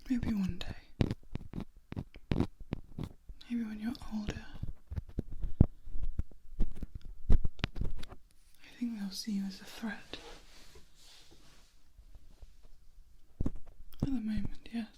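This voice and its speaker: A woman playing an ancient, protective female vampire in a soft ASMR roleplay, whispering breathily in a gentle, formal, motherly manner. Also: mid-range voice, steady tone, unhurried, lightly acted, low-quality recording. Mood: hushed, cautioning.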